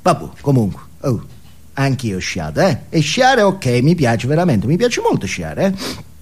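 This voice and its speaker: An actor playing a boastful, crude, foul-mouthed drug dealer in a comic stage monologue, rambling and jumping between confiding and shouting. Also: male voice, a little high, wildly swooping pitch, unhurried, husky, exaggerated voice.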